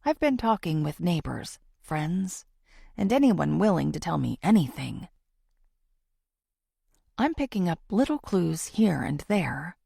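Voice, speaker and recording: Creaky, dramatic female true-crime podcaster, acted by a woman. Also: on the low side, warm tone, no echo, clean studio sound, exaggerated voice. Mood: confiding. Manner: confiding, theatrical, deliberate pauses.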